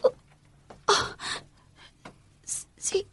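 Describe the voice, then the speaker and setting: A woman's voice: very slow, pushed and bright. A woman with a Visayan Filipino accent playing a feisty, emotional housemaid in a radio drama, exclaiming, scolding and muttering to herself. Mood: flustered. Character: feisty, flustered female housemaid, indignant and protective of herself.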